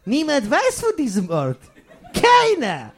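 A man's voice: very high-pitched, wildly swooping pitch, takes it slowly, heavily acted. A man playing a gleefully evil, easily irritated mad scientist who cackles and repeats himself in a comic radio play. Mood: boastful, irritated. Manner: stammering repeats, cackling, theatrical outbursts.

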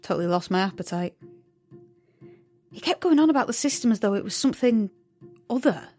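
Wry, husky teenage girl. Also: mid-range voice, animated, fairly quick, dark tone, soft background music. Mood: guarded. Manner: wry, sardonic. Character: guarded, wry seventeen-year-old girl in a resistance. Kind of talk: naturalistic audio drama acting with inner narration.

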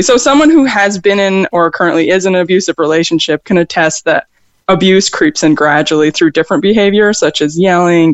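A woman's voice: lowish voice, dry recording. Woman, American accent, songwriter guest, hesitant, many fillers, dry recording. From upstate New York, raised in Vermont. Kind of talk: interview conversation.

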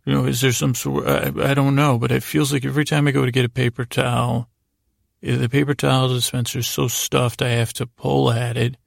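A man hosting a bedtime podcast in a halting, rambling, easily distracted way, meant to lull listeners to sleep. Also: mid-range voice, flat delivery, creaky, dry recording, clean studio sound. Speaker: podcast host. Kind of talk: rambling bedtime monologue to help listeners fall asleep.

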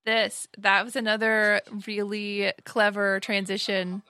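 A woman co-hosting a fan podcast, recapping an episode in casual chat with quick, matter-of-fact remarks. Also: medium pitch, flat delivery, pushed and bright, dry recording. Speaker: podcast co-host.

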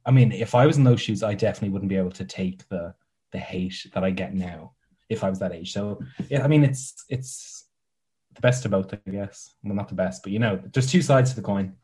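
A man's voice: on the low side, a little fast, mellow. A young Irish man who makes content, chatting as an interview guest with sarcastic, self-mocking humor. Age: young adult. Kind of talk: casual podcast interview.

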